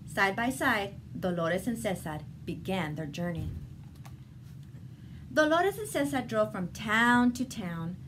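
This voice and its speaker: A woman reading a children's picture book aloud in a deliberate, expressive storytelling manner. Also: medium pitch. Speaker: storybook reader. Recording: clearly noisy background ambience.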